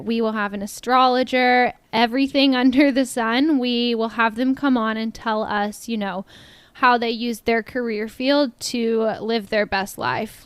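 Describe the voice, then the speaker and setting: A young woman with a slight Texan twang, a podcast guest chatting casually, upbeat and quick to laugh, saying "like" often. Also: a little high, smooth, no echo. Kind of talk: casual interview chat.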